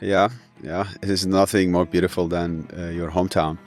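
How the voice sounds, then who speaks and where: A man in his thirties, raised in Syria, speaking English as an in an earnest, halting way with many fillers. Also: deep voice, flat delivery, faint music behind. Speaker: guest. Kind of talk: emotional interview.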